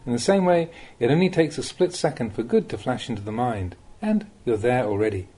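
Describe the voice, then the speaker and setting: A man reading a Buddhist Dhamma talk aloud as a monk, in the measured, plain-spoken way of a teacher, with rhetorical emphasis. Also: medium pitch, very animated, mellow, slightly rough.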